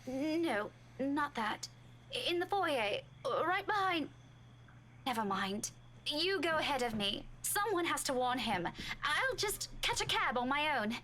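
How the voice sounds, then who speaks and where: A high, bright-voiced young woman played by an actress speaks with sharp, defiant impatience, like a game heroine at the end of her patience. There is clear noise and rumble around the voice. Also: sing-song, slow, exaggerated voice. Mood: shaken, guarded. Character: Rebecca, tense, sharp-tongued young woman, fed up and defiant. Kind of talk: visual novel voice acting.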